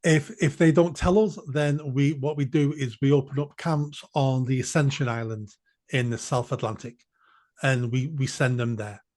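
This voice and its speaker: A man's voice: medium pitch, steady tone, normal speed, a little mellow. A man, a podcast guest speaking bluntly and emphatically, repeating phrases for force. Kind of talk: political podcast discussion.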